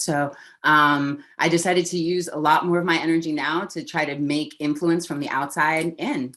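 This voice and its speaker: A woman from Oakland, California, a creative director and activist, introducing herself on a video call panel in a deliberate, reflective way. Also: sits low.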